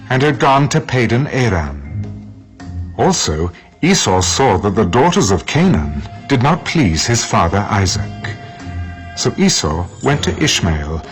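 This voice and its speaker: A man narrating scripture from a script in a measured, dramatic storytelling manner. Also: mid-range voice.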